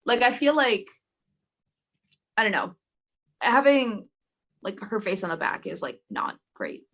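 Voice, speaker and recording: Teen girl, podcast guest, casual chatter, mid pitch, dull lo-fi audio. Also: very animated, a little slow, a bit bright. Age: teens. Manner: chatty, lots of "like". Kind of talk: casual chat.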